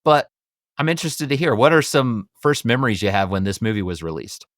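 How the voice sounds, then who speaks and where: A man's voice: mid-range voice, expressive, brisk, fairly bright tone, no room sound, clean studio sound. A middle-aged man with an American accent hosting a casual podcast, chatty, upbeat and enthusiastic. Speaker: podcast host.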